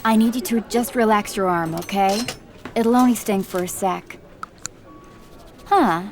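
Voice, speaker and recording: An actress playing a brisk, friendly hospital nurse in a radio drama. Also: female voice, mid-range voice, soft background music, natural voice.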